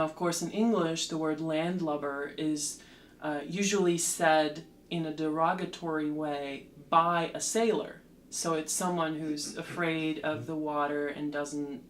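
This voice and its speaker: Woman, unhurried delivery, mid-pitched, faint hum behind, thoughtful interview guest. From Slovakia. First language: Slovak. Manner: measured, with frequent ums and restarts. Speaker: translator and lecturer, guest. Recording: roomy echo with faint background hum.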